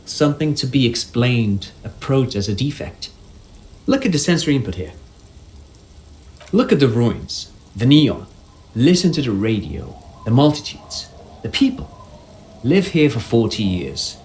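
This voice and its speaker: An actor playing an earnest, articulate police consultant who muses in an academic way, in video game dialogue with music behind him. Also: male voice, mid-range voice, big pitch swings, normal speed, darkish tone, close to an everyday voice. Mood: fascinated. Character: earnest, intellectual male police consultant given to theorizing.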